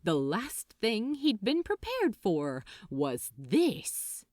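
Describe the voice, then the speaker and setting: A woman reading a story aloud as a dramatic, expressive and deliberate narrator. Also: medium pitch, no room sound, studio quality. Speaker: audiobook narrator.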